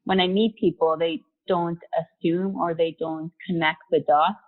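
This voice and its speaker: A woman's voice: fairly low pitch, fairly even intonation, unhurried. A woman in her forties, raised in Mexico, talking candidly as a guest on a video call, with casual fillers. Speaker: guest, company vice president. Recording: tinny phone-like video call audio.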